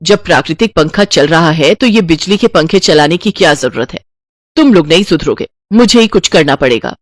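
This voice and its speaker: A woman's voice: deep voice, animated, normal speed, dry recording, studio quality. A woman voicing a stingy, scolding middle-aged mother-in-law in a cartoon moral story, bossy and grumbling. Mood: stern.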